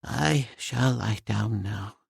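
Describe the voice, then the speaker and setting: A man voicing an elderly professor who speaks slowly and gravely, resigned in his grief. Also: on the high side, expressive, dry recording, full character voice. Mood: grave resolve. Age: older. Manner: slow, grave, resigned speech. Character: grief-stricken elderly male professor, solemn.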